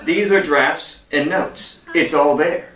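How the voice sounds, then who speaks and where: An actor playing an upbeat, ingratiating office colleague who pushes with flattery in a sitcom-style radio play. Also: male voice, a little high, slow pace. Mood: smooth, insistent. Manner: ingratiating, insistent. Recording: roomy, echoey sound.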